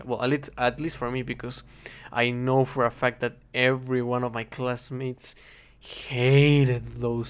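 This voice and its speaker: A young man with a Spanish accent, a native Spanish speaker, rambling in a casual, halting monologue while he plays a game and keeps correcting himself. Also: mid-range voice, smooth. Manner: halting, self-correcting, thinking aloud. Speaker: vlogger.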